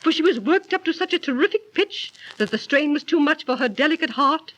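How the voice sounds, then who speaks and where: A woman's voice: high-pitched, animated, fairly quick, fairly bright tone. A woman playing a patient, instructive aunt who tells stories in an emphatic, explanatory way in a 1930s radio drama. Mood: explanatory, earnest.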